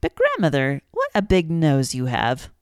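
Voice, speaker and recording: A woman voicing a trusting, polite little girl in a storybook reading, raising her voice higher. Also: huge pitch swings, normal speed, dry recording, studio quality. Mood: innocent.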